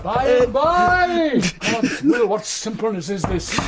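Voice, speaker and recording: A man's voice: extremely high pitch, big pitch swings, takes it slowly, over a noisy crowd, heavily acted. An older man playing a stern, fatherly holy friar in classical Shakespearean radio drama, declaiming and scolding. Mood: urgent, alarmed. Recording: faint hiss, 1990s radio drama CD.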